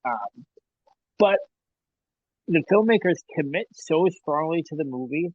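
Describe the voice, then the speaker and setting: A man in his thirties with a slightly high voice rambles through his opinions on a movie podcast, hedging as he goes. The recording sounds a little dull and dry. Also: animated, a little slow, breathy edge, dry recording. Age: 30s. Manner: rambling, hedging, laughs often. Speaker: podcast co-host. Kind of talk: casual movie review chat.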